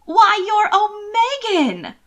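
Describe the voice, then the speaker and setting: Lady doing a dim simpering society girl, high voice, gushy, storybook romance style. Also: wildly swooping pitch, slow, heavily acted. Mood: gushing astonishment. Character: dim, gushing, tittering young society lady.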